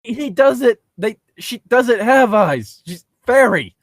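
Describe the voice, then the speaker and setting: A man's voice: extremely high pitch, very animated, slow pace, dry recording, studio quality. A man co-hosting a comic read-aloud, mocking the story with sweary casual asides. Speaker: co-host reading stories aloud.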